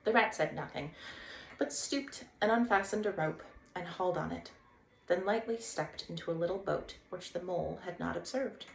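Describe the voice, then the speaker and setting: A woman's voice: fairly low pitch, normal speed, creaky. A woman hosting a read-aloud, narrating a children's storybook expressively. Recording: roomy echo, faint background noise.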